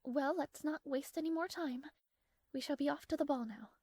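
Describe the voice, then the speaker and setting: A woman's voice: high voice, normal speed, dark tone. A woman playing a proud, strong-willed young princess in a romantic fantasy audio roleplay, speaking formally with haughty, flustered hesitations.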